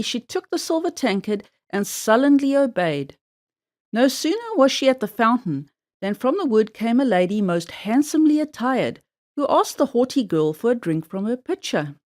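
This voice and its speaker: A woman narrates a children's fairy tale in a fairly high voice. Her intonation rises and falls with great animation, and her delivery is measured and expressive, like a storybook read-aloud.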